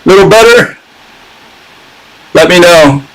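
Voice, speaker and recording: A man's voice: high voice, lively intonation, takes it slowly, noisy background. A man hosting a casual live-streamed talk, sarcastic and chatting with his viewers as he goes. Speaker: channel host giving a critique.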